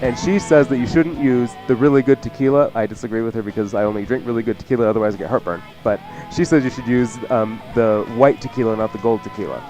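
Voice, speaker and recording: Fast-talking, joking male cooking host. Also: mid-range voice, steady tone, dark tone, dull audio, faint music behind. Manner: chatty, joking. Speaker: cooking show host and chef. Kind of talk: casual cooking demonstration chat.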